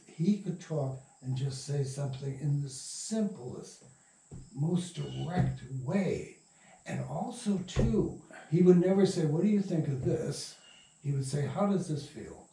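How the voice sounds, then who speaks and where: A man's voice: fairly high pitch, lively intonation, takes it slowly, warm tone. An older man telling stories casually in an interview, rambling and salty-tongued. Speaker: interviewee, radio play producer. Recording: roomy, distant mic, noisy recording.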